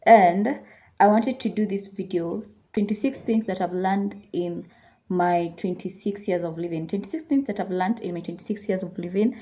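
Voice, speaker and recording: A woman's voice: mid-range voice, moderate pace, dark tone. A woman, chatting casually to her viewers while she does her makeup, slipping Swahili phrases into her English. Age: 20s. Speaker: lifestyle YouTuber. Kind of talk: chatty get-ready-with-me talk while doing makeup. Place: indoors at home.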